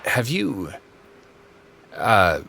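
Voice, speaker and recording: A man playing a shy, gentle, scholarly character in an audio drama, speaking hesitantly with stammers. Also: fairly low pitch, huge pitch swings, extremely slow, vocal fry, heavily acted. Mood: awkward fond. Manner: hesitant, stammering, nervous small laughs. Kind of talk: naturalistic audio drama acting.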